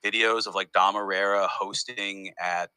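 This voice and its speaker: Brief: low, bright-toned male comedian, meandering and chatty, dry close sound. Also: steady tone, moderate pace, clear, resonant tone, no room sound, low-quality recording. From United States. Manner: rambling, self-interrupting storyteller. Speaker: comedian guest. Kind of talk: casual interview conversation.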